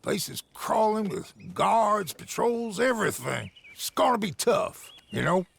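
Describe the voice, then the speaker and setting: An actor playing a gruff, sardonic American frontier bounty hunter with a gravelly voice and a terse, grumbling delivery. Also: male voice, high voice, sing-song, unhurried, a bit bright, slight background noise, heavily acted. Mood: wary, grumbling. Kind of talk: video game cutscene acting.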